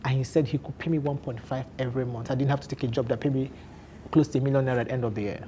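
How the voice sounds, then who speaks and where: A Nigerian man with an Igbo accent tells his story at a quick clip, laughing often and restarting his sentences. He sounds like he is in his thirties, talking candidly among friends. A faint hiss sits under the recording. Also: medium pitch, steady tone, warm tone. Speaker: guest on a men's talk show.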